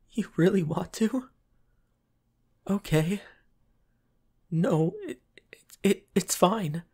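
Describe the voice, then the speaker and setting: A man playing a shy, flustered, submissive young pop star boyfriend in a roleplay audio, with soft breathy, stammering, hesitant speech. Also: high-pitched, exaggerated voice. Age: young adult. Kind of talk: roleplay ASMR audio acting.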